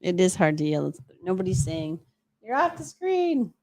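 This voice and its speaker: A woman's voice: lowish voice, sing-song, moderate pace, warm tone. A woman co-hosting a live stream, reading out viewer chat and bantering casually in a playful, teasing way.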